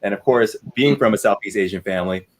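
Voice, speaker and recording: A man in his thirties whose first language was Vietnamese, with a fairly low voice. He speaks as a livestream guest, earnest and reflective, hedging often with "like" while he works through his thoughts. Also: fast talker, a little hiss or hum. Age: 30s. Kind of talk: casual discussion.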